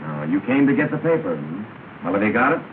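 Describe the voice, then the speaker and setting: Fast, menacing low-voiced gunman. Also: sing-song. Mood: sarcastic, threatening. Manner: curt, commanding, sarcastic. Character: menacing, sarcastic male gunman giving curt orders. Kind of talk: 1930s film acting. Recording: noisy, tinny 1930s film soundtrack.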